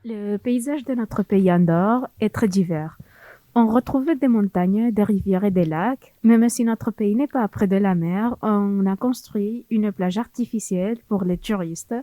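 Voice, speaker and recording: A woman's voice: mid-range voice, a little slow, mellow, no echo. A teenage girl reading a prepared text.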